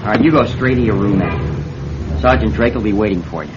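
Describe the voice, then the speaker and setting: A man's voice: on the low side, animated, brisk, over loud music, close to an everyday voice. An actor playing a breezy, folksy, confident man in police authority in a radio drama. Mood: smugly authoritative. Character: breezy male con man posing as policeman. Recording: dull, noisy old radio recording.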